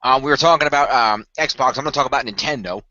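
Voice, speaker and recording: A man's voice: mid-range voice, fast talker, pushed and bright, no echo, muffled recording. A man co-hosting a podcast, chatting casually as he goes through the news. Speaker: podcast co-host.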